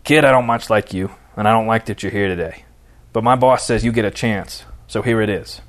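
A man's voice: on the low side, brisk, natural voice. A man voicing an angry, sarcastic and stubborn baseball team manager in an audiobook, mocking as he barks orders. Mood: stern. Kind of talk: audiobook character dialogue.

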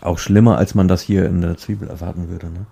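A man chatting casually in a rambling, halting way, often repeating words as he searches for the next one. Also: low voice, steady tone, vocal fry, dark tone, slightly rough.

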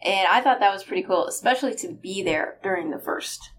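A woman talks casually as a guest on a podcast. Her voice sits at a middle pitch and her delivery is informal and conversational. There is a little noise behind her. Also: animated, a little fast. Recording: noticeable background noise.

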